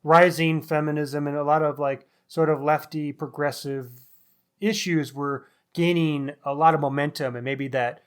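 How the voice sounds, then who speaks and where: Higher-pitched man musing about books. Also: steady tone, normal speed, smooth. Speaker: podcast co-host reviewing crime novels. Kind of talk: casual book discussion.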